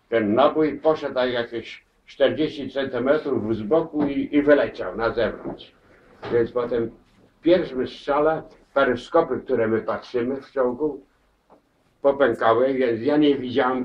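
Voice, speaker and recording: Elderly man telling stories, halting. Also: mid-range voice. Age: older. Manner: halting, many restarts and repeated words. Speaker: war veteran interviewee. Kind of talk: spoken war memories. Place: crowded living room, indoors. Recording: distant, dull camera audio.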